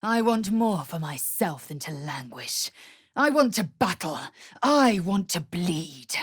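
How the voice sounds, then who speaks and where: An actress voicing a proud, fierce, scornful female alien warrior in a video game, with a husky, raspy voice and an imperious, clipped, deliberate way of speaking. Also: on the low side, big pitch swings, no echo, clean studio sound, heavily acted. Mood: menacing. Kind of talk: video game character voice lines.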